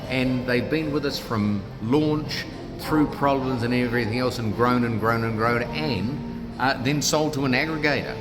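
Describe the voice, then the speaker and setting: A middle-aged man with a New Zealand accent, a business founder making an upbeat, punchy pitch in a casual interview. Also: normal speed. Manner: upbeat, punchy salesman delivery. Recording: background music, trade fair.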